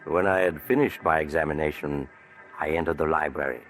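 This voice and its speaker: An actor narrating as a measured, matter-of-fact male investigator in a 1940s radio drama. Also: rumbling low, fairly even intonation, a bit bright. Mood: steady. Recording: old 1940s radio broadcast recording with faint music.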